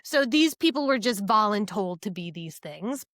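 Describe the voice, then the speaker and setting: A woman's voice: medium pitch, expressive, moderate pace, a bit bright, no room sound, studio quality. A woman co-hosting a podcast, chatting casually about a book in a blunt, incredulous, emphatic manner. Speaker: podcast co-host.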